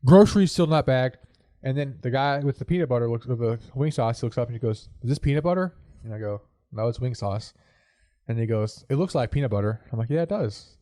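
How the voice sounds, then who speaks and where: A man's voice: medium pitch, warm tone, no echo. A man with a congested, stuffed-up voice hosting a podcast, chatting casually and telling an anecdote. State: congested from a cold. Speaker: podcast host. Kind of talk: casual chat and anecdote storytelling. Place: living room corner home studio.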